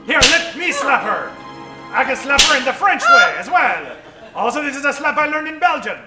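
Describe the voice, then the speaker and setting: A man's voice: very high voice, sing-song, normal speed, reverberant, loud music behind the voice. A man playing a pompous, fussy doctor in a comic old-time radio play, speaking theatrically with formal diction. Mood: brisk, pompous. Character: pompous, fussy older male doctor, grimly comic scientist.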